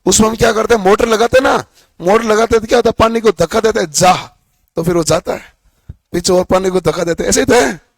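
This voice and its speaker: A hoarse male teacher giving a classroom lecture, asking questions and answering them himself. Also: high-pitched, fast, no room sound.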